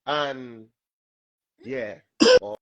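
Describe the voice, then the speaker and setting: A man with a Trinidadian accent and a raspy voice, telling animated stories as a guest in a casual interview. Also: mid-range voice, extremely slow, dry recording, muffled recording. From Trinidad and Tobago. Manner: animated storytelling, laughs often. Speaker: soca artist guest.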